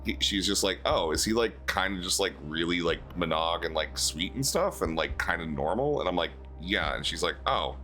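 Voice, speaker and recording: A man's voice: low-pitched, expressive, moderate pace, few pauses, pushed and bright, soft background music. A man hosting a casual online dating show, rambling loosely with lots of fillers. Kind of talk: casual stream chat.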